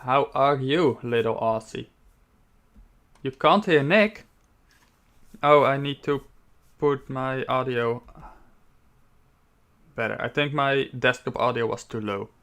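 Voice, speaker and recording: A man's voice: medium pitch, slow pace, long pauses, a bit bright, clean tone. A young man streaming a game, chatting casually with a guest and laughing easily, on a desktop mic. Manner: laid-back, laughs often.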